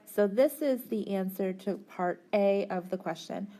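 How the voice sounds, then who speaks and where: Slow-paced, mellow woman tutor. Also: medium pitch, poor audio. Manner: methodical, informal teaching. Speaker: physics tutor. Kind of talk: step-by-step worked problem explanation.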